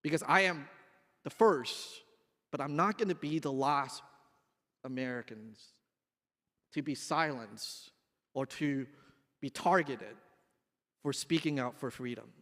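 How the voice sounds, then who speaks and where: A man's voice: mid-range voice, slow, long pauses, a bit bright. A man in his forties from Hong Kong giving an earnest, emphatic dinner speech as an activist guest speaker, with some restarts. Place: large banquet hall. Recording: echoey hall with long reverb.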